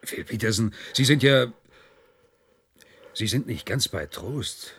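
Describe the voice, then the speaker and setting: Very husky, stern general. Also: male voice, mid-range voice, lively intonation, slow pace, fairly bright tone, exaggerated voice. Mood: stern, concerned. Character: stern, baffled male general giving curt orders. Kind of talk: German radio play acting.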